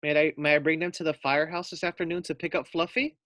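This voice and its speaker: A man's voice: a little high, level tone, fast talker, fairly bright tone, dry recording, voice pushed up, close to an everyday voice. Friendly storybook teacher, eager, a man raising his voice for her. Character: friendly woman schoolteacher.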